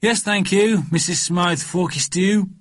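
A man's voice: sits high, fairly even intonation, a little slow, fairly bright tone, pitched above the performer's own voice. A man voicing a plain-spoken, earnest small boy in a children's storybook, dry and innocent. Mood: polite, earnest.

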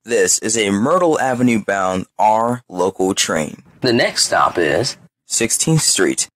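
A man delivers scripted station and train announcements. His mid-pitched voice is slightly rough and dryly recorded, with animated intonation and formal, segmented phrasing. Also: slow, no echo, clean studio sound.

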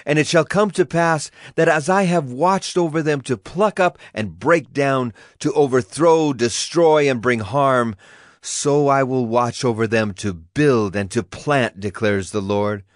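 An actor playing a solemn Hebrew prophet, proclaiming oracles earnestly in a dramatized scripture reading. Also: male voice, mid-range voice, measured pace, no room sound, clean studio sound, close to an everyday voice. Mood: solemn proclamation. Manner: declamatory, earnest. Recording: music behind the voice.

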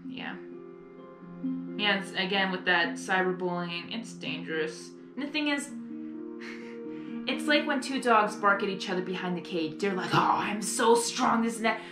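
A young woman hosts a casual live reaction from her bedroom. She talks in an earnest, rambling, halting way, with clear room echo and music behind her. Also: medium pitch, lively intonation. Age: young adult. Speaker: reaction video host. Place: small bedroom, indoors.

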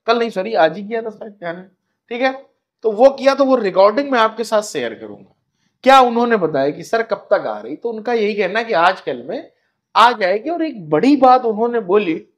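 A man in his thirties speaks in a distinctly high voice. He presents admission guidance informally, like a coaching host. He often adds small tag phrases to check understanding. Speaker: coaching channel host, education counsellor. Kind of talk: informal admission update to camera.

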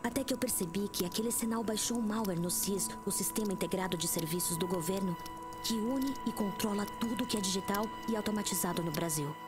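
Woman as intense hacker over loud music. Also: medium pitch, fairly quick, close to an everyday voice. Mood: absorbed. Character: absorbed, determined female hacker telling her story. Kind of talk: dramatic first-person audio drama narration.

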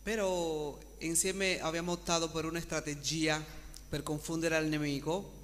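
Higher-pitched man testifying in an echoey hall. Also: level tone, moderate pace, fairly bright tone. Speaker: congregation member giving testimony. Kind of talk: spoken testimony and prayer responses. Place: church hall. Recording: echoey hall with background hum.

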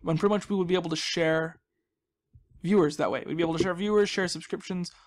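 Male gaming host, slightly high register. Chatty, jokey, self-deprecating, loose off-the-cuff play commentary. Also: steady tone, fast. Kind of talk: casual rambling gameplay commentary.

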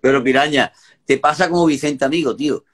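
A man, co-hosting a casual live stream from his bedroom with animated, self-mocking jokes. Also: medium pitch, brisk. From Córdoba, Spain. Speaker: singer, live stream co-host. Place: indoors, home bedroom. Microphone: phone held close, video call.

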